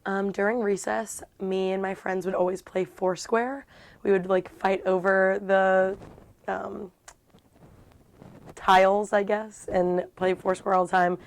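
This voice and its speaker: A woman's voice: mid-range voice, little pitch movement, measured pace. A young woman from Ohio, a university student, recalling school in a casual, slightly hesitant way. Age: young adult.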